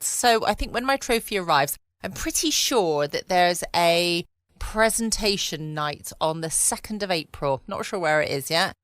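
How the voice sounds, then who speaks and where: A woman's voice: mid-range voice, fairly bright tone, no room sound, clean studio sound. An adult woman with a southern English accent, chatting casually and playfully as host of a bedtime podcast recorded at home. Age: adult past her twenties. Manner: chatty, playful, self-mocking. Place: quiet room at home.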